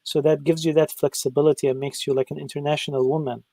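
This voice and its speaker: A male interviewer with a dark, mellow tone, recorded dry and close. He builds up to his questions haltingly, repeating his openings before landing on them. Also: fairly high pitch, flat delivery, no echo. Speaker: host.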